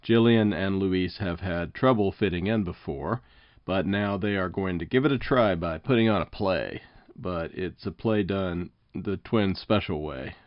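A low-pitched man speaks as a podcast interviewer, mixing prepared lead-ins with conversational talk. The recording is dry, with a slightly dulled top end. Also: unhurried, no room sound, dull audio.

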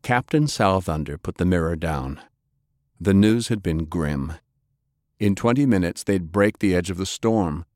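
Guy narrating an adventure audiobook, dramatic scripted read, lowish voice, very dry sound. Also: lively intonation, unhurried, a little mellow, no room sound.

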